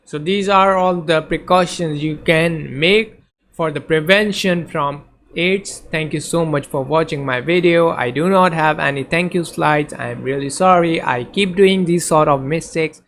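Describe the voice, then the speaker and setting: A man's voice: a little high, measured pace, creaky, fairly bright tone, a little hiss or hum. A man whose first language is Urdu, teaching in a casual lecture over slides, hesitant, with many fillers and restarts. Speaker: tutor.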